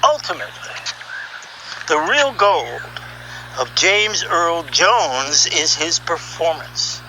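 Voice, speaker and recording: Middle-aged male storyteller, high and very sharp-edged, conversational and emphatic, over faint background hum. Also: animated, takes it slowly, low-quality recording. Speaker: narrator, voiceover producer. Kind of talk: conversational first-person storytelling.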